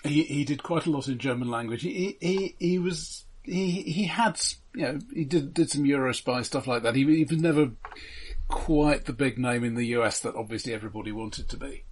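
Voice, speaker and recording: A man co-hosting a casual film discussion, explaining haltingly and often repeating words as he goes. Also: medium pitch, slightly rough. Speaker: film podcast host.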